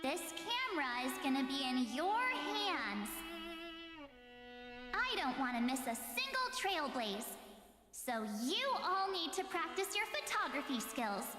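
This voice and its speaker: A woman's voice: high-pitched, big pitch swings, a little slow, in a large hall. A woman voicing a perky, boastful and sentimental young adventurer in a scripted video game story. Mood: cheerful, boastful. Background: game music with violin.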